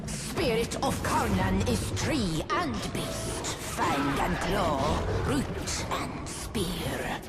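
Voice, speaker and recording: Primal forest spirit, extremely slow, incantatory, ominous, archaic, loud music behind. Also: female voice, mid-range voice, expressive. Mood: fierce, menacing. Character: primal female forest spirit, ancient, fierce and menacing. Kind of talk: video game creature acting.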